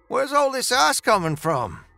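A man's voice: very high voice, wildly swooping pitch, measured pace, creaky, bright, forceful tone, dry recording, soft background music, pitched above the performer's own voice, heavily acted. A man voicing an agitated, evasive townsman who talks in a strained, insistent way in a western audiobook. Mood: suspicious, needling.

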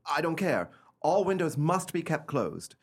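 Husky, high-strung haunted gentleman. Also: big pitch swings, a little slow, exaggerated voice. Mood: fretful, stubborn. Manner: theatrical, high-strung, fretful. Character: nervous, haunted, imperious well-off gentleman in terror. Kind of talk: radio play acting.

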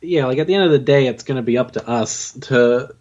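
A man's voice: medium pitch, fairly quick, a little mellow, lo-fi sound. A man chatting casually as a podcast guest, with a wry, self-deprecating way of talking. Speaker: podcast guest, audio drama writer. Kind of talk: casual chat.

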